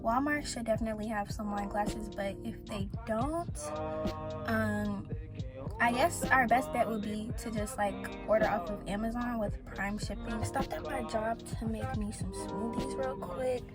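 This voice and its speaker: A teenage girl from Atlanta, chatting casually in a vlog with lots of "like" and "um", her voice a little raspy. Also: on the high side, fairly even intonation, a little slow. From Atlanta, USA. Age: teens. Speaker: vlogger. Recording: loud background music.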